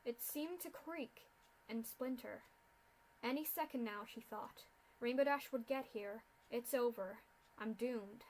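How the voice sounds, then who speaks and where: A woman reading a dark fan fiction story aloud in steady narration. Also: on the high side, measured pace, slight background noise, poor audio.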